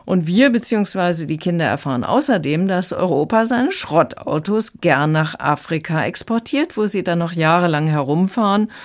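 Female radio critic, low voice, explanatory and conversational. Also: animated, normal speed, few pauses. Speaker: book reviewer.

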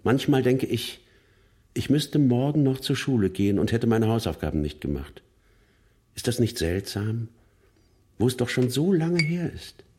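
A man's voice: medium pitch, very animated, normal speed, darkish tone, slightly rough. An actor playing a pensive former gang member looking back on his life in a literary radio play monologue.

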